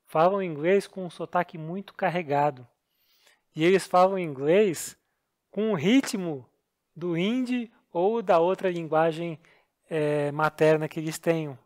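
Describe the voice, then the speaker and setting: A Brazilian man with a Brazilian Portuguese accent, co-hosting a podcast and telling travel stories in an earnest, explanatory, self-deprecating way. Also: a little high. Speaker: podcast co-host. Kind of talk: casual storytelling chat.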